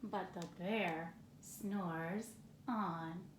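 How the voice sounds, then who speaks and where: A woman reading a picture book aloud expressively, with gentle, playful, drawn-out phrasing and casual asides. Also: on the low side, steady tone. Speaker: storybook reader. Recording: roomy echo, some background noise.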